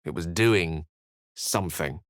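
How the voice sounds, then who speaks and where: A man's voice: low voice, measured pace, no echo, clean studio sound. A man voicing an eloquent, courtly wizard companion in a fantasy video game, speaking formally and theatrically. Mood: grave, haunted. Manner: articulate, formal, theatrical phrasing. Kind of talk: fantasy video game voice acting, dramatic narration and dialogue.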